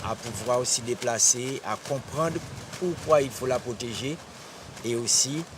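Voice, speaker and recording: A man giving halting, reflective interview answers, searching for his words. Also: medium pitch. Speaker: water sports figure honoured at an inauguration. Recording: distant mic, noisy outdoor ambience.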